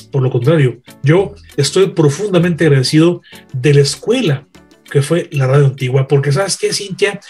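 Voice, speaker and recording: Male radio veteran, raspy-edged higher voice, emphatic storytelling with repeated phrases. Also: a little mellow. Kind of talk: animated anecdote-telling in a panel chat.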